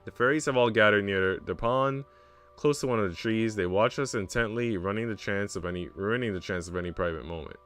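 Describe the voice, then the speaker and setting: Low, smooth-voiced man reading aloud. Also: a little fast, fairly bright tone, faint music behind. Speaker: streamer. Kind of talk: reading visual novel narration aloud with joking asides.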